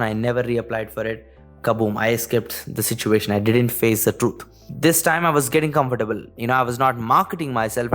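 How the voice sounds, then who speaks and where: Young man, Indian accent, earnest, filler-heavy, mid pitch, soft background music. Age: young adult. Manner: earnest, filler-heavy, repeats "you know" and "actually". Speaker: business owner. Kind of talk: direct-to-camera business talk.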